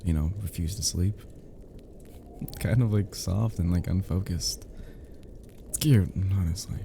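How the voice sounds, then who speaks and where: A man acting a deep, mellow, flirty close friend. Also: a little slow, creaky, a little hiss or hum. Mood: tender teasing. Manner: soft, hesitant murmur with frequent chuckles. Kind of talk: intimate ASMR roleplay audio drama.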